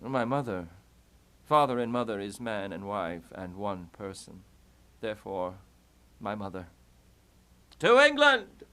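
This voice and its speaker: A man's voice: on the low side, sing-song, measured pace, bright, forceful tone, full character voice. A man acting a mocking, impulsive, grief-maddened young prince in a theatrical Shakespearean puppet show. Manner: dramatic, declaiming, sardonic.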